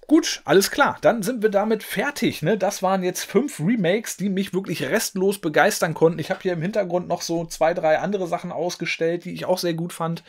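A man's voice: high-pitched, brisk, hardly any pauses. A man, hosting a casual gaming video from his room, rambling with many fillers and tag questions. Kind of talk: casual talk to camera. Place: home room with game shelves, indoors. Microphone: desk mic in front.

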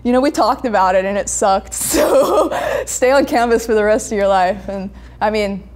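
A young woman chatting casually as an interview guest, animated and acting out conversations as she tells stories. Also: medium pitch, moderate pace. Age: young adult. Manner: animated storytelling, acting out reported dialogue. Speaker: comic creator, interview guest. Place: indoor brick-walled studio room.

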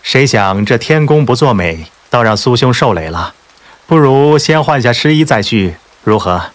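A man playing an urbane, smug young gentleman in an audiobook drama, suave and teasing. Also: medium pitch, expressive, normal speed, no room sound, natural voice.

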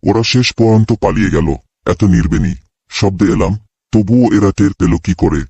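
Cartoon predator, male actor: very low, creaky growling menace, heavy background noise. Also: fairly quick, lo-fi sound. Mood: frustrated. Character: menacing male predator hunting the animal friends. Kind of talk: children's cartoon dialogue. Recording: very noisy track with clicks.

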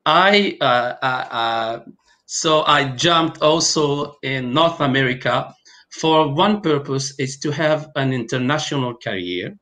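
Halting man. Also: medium pitch, sharp tone. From Algeria. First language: Arabic and French. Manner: halting, many fillers and restarts. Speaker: guest pharmacovigilance specialist. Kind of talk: online interview. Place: home room, video call.

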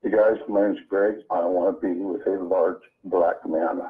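A man with a low voice makes prank calls to live shows. He comes through a thin, narrow telephone line. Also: fairly even intonation, a little slow. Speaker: prank caller. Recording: tinny phone line.

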